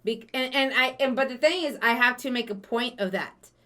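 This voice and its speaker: A woman's voice: a little high, moderate pace, sharp tone. A young woman hosting a casual, playful interview and teasing her guest. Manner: playful, teasing, laughs often. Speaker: host. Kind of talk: casual tipsy interview chat.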